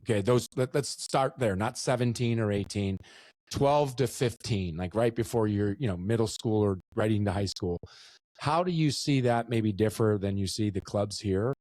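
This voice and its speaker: A man's voice: fairly low pitch, normal speed, a little mellow, dry recording. A middle-aged man hosting a casual interview podcast, enthusiastic and often repeating words as he thinks. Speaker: podcast host.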